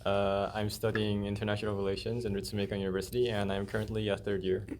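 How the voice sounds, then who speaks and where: Young man, native Korean speaker, from South Korea, low pitch, casual chat, faint background noise. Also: completely flat delivery, quick pace, darkish tone. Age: young adult. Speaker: student interviewee.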